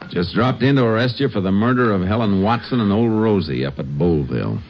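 A low-pitched, tough lawman in an old-time radio western. He speaks in clipped, commanding lines with a dry edge. The broadcast recording is muffled and aged. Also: expressive, a little fast, slight background noise. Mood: dry, confident. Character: tough, wry Texas Ranger leading a manhunt. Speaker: radio drama actor.

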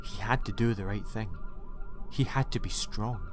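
A man's voice: fairly low pitch, normal speed, noisy background. A man reading scripted story narration as an audiobook narrator. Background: music.

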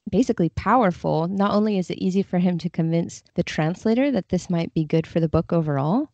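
Mellow woman, low-pitched, slightly dull recording. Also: no echo. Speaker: podcast guest, literary translator. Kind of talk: casual interview conversation.

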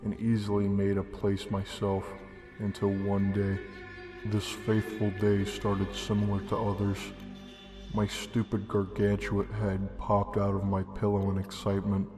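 A young man giving a deadpan, deliberate reading of a comedic horror story as narrator. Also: fairly low pitch. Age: young adult. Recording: long reverb, music behind. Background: music.

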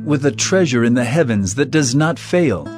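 An actor playing an earnest male teacher of parables in a dramatized audio Bible, speaking in an emphatic, measured, declamatory way. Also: mid-range voice, animated, over music, close to an everyday voice. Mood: reassuring, earnest.

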